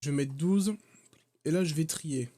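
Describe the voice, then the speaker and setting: A man with a high voice chatting casually as he plays a werewolf game over voice chat. He gets excitable and teasing, and his banter easily tips into shouting. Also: a little slow. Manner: excitable, rowdy, teasing, shouts when worked up. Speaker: player setting up an online werewolf game. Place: voice chat from home.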